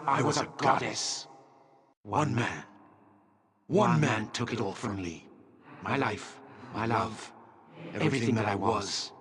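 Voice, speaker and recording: Fantasy game chieftain: very deep and rough-voiced, domineering, scornful, with the menace of a vengeful dragon spirit. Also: male voice, fairly bright tone, exaggerated voice. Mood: anguished, furious. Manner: imperious, measured, contemptuous. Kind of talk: dramatic video game voice acting.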